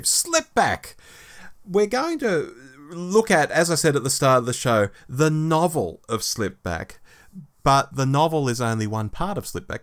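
A man's voice: mid-range voice, no room sound, clean studio sound. An Australian man in his forties co-hosting a podcast in a casual, reflective, conversational style. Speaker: podcast co-host. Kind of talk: casual chat, discussion.

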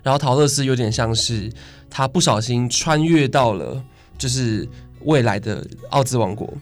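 A young man with a Taiwanese Mandarin accent chatting in a halting way as a performer guest in a radio studio. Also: mid-range voice, expressive, faint music behind. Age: young adult. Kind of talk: casual interview chat.

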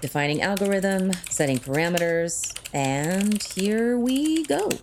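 A woman's voice: mid-range voice, expressive, noisy background. A woman playing a witty, sarcastic crime-fighting tech expert in an audio drama, with a wry manner. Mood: analytical, confident. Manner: wry, sarcastic, quick-witted.

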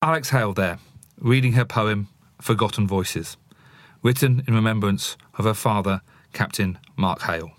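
A man's voice: fairly low pitch, normal speed, no room sound, clean studio sound. A man presenting a podcast as host and interviewer, introducing segments and putting questions to a guest.

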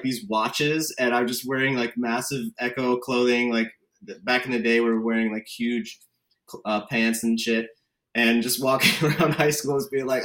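A man's voice: mid-range voice, fairly bright tone. A man in his thirties from Connecticut, talking casually about his own life as a podcast guest. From Connecticut, United States. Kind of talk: casual chat, personal storytelling.

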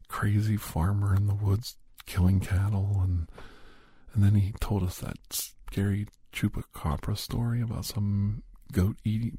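A middle-aged man hosting a whispered ASMR roleplay, chatting softly and gently teasing the listener as a caregiver. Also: lowish voice, husky, dry recording. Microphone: close microphone.

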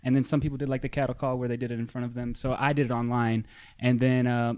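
A man's voice: medium pitch, level tone, fast talker, darkish tone, clear, resonant tone, no echo. A young man from Texas, a boy band singer, talking easily as a podcast guest with many ums. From Dallas, Texas. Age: young adult. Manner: easygoing, hesitant with ums. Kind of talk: casual interview chat.

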